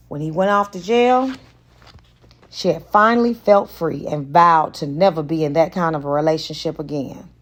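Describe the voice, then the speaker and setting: A woman reading romance fiction aloud as an audiobook narrator, in a deliberate and expressive way. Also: fairly low pitch. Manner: deliberate, acts out dialogue. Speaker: audiobook reader.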